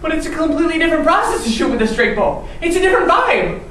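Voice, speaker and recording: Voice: very high-pitched, big pitch swings, quick pace, fairly bright tone, exaggerated voice. A performer playing an earnest, competitive young camper telling a first-person story in readers theatre style. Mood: tense. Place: large room or hall, distant mic. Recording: echoey hall from far off, faint noise.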